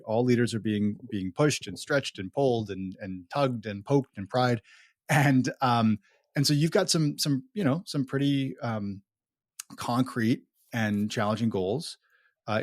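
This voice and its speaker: A man's voice: mid-range voice, no room sound. Dry-sounding male podcast host. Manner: playful, teasing introductions. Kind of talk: casual podcast chat.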